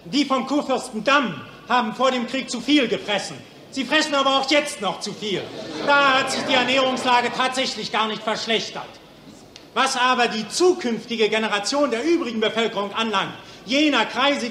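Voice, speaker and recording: A man acting a bitter, defiant mental patient who denounces and accuses in 1940s radio play style. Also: extremely high pitch. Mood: sarcastic. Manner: biting, accusatory, declamatory. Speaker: actor. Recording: 1940s radio recording, echoey room.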